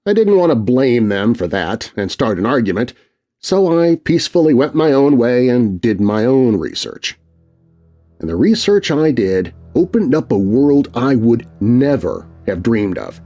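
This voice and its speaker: A man's voice: medium pitch, sing-song, measured pace, mellow, faint music behind. A man narrating a scripted scary story in a dramatic, suspenseful way.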